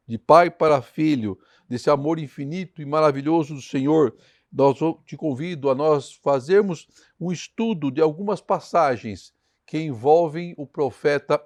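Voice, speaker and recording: Male preacher, Brazilian Portuguese accent, highish register with slight hoarseness, reading and explaining scripture, dry sound. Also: darkish tone, no echo. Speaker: preacher leading a Bible study. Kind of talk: devotional Bible teaching with scripture reading.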